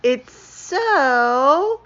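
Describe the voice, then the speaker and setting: Woman playing eager storybook skunk, gushing, drawn-out words, swooping pitch, slightly muffled recording. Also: sing-song, a bit bright, full character voice. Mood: excited. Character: excitable, apologetic skunk assistant, childlike and eager.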